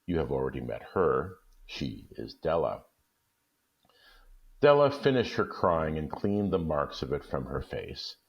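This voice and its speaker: Very deep-voiced man reading a story. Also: big pitch swings, unhurried, dull audio. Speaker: guest reader. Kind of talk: reading a short story aloud.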